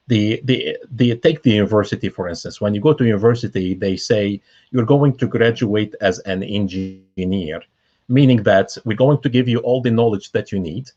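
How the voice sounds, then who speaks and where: A man from Lebanon explains his views earnestly, often restarting his sentences. His voice sits low and has a husky edge. The sound is dull and lo-fi, like a video call. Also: darkish tone. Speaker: guest. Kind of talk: casual conversation.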